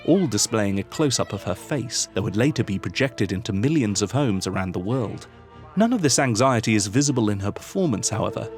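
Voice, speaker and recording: A man with a British accent reading scripted documentary narration in formal, measured, wordy phrasing. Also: lowish voice. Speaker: video essayist, narrator. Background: music.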